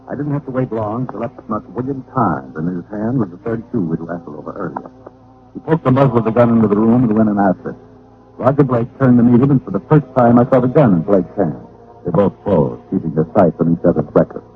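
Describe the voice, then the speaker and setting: Through a thin, narrow-band vintage radio recording comes a wry American gumshoe played by a man. His lowish voice tells the story in a cool, wisecracking, hard-boiled way. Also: lightly acted. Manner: dry, wisecracking. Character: witty, hard-boiled male private detective, wry and cool. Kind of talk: old-time radio detective narration and acting.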